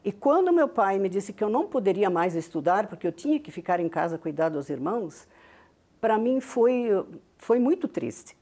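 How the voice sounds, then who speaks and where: A woman's voice: medium pitch, sing-song, moderate pace, mellow. An older woman from southern Brazil, whose first language was Venetian Italian, speaking with a heavy accent and telling her story as a guest on an interview show with frequent tag questions. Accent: Italian-Venetian-influenced Brazilian Portuguese from Rio Grande do Sul. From southern Brazil (Rio Grande do Sul). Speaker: guest, business founder.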